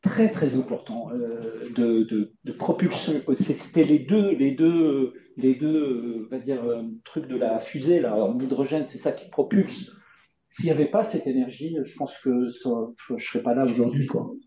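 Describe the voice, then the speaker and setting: A middle-aged man answering interview questions at length, halting with many fillers and repeated words. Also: medium pitch, mellow, a little hiss or hum. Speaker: playwright guest. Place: stage event before audience. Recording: dull lo-fi roomy recording.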